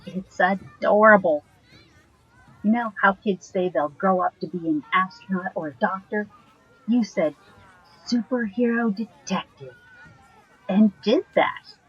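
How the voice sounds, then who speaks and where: Woman as gentle magical girlfriend, very husky and breathy, hesitant, curious, audio drama, slightly muffled sound. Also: medium pitch, a little slow, pauses often. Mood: teasing, fond. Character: curious, apologetic, softly teasing magical girlfriend.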